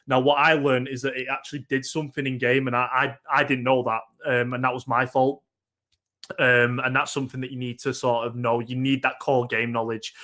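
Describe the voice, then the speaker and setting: A young man from Manchester with a Mancunian accent, giving a casual workshop talk on getting into esports. He pauses often with ums and repeats words as he thinks. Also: mid-range voice, a bit bright. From Northern England, Manchester.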